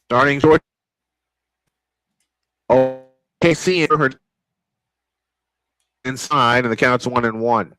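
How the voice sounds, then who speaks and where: A man calling live baseball play-by-play, excitable and prone to repeating his points. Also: mid-range voice, dry recording. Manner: excitable, repeats points, quick calls of action. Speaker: play-by-play announcer. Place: home sports studio.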